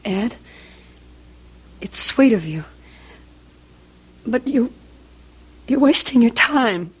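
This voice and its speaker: Fragile, anxious young wife, higher-pitched. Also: female voice, lively intonation, breathy edge, exaggerated voice. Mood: distraught, pleading. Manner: halting, anxious, faltering delivery. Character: fragile, distressed young wife doubting her own sanity. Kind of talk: old-time radio drama acting. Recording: dull vintage radio drama recording.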